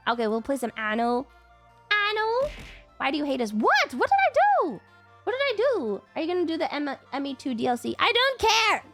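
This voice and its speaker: Woman, high voice, congested cold, rambling casual streamer, whiny playful outbursts, faint background music. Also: fairly bright tone. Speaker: livestreamer.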